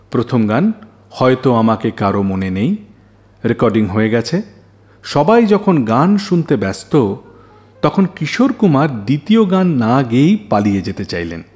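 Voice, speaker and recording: A man's voice: medium pitch, darkish tone. A man narrating scripted stories as a radio host, with dramatic storyteller phrasing.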